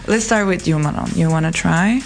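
Host, female, low gravelly voice, studio show, soft music under. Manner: friendly, guiding questions, quick asides. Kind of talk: studio talk show hosting.

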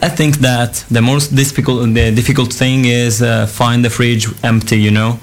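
A man's voice: mid-range voice, little pitch movement, moderate pace, loud music behind the voice. A young man from Italy with an Italian accent, rambling casually in imperfect English as a student guest. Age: young adult.

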